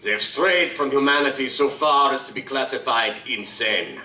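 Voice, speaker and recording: A man's voice: medium pitch, moderate pace, sharp tone, slight background noise. An actor playing a suave, courtly male vampire liaison who speaks in formal, elaborate phrases, recorded live on stage. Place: live stage performance at a convention. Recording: roomy live stage from a distance.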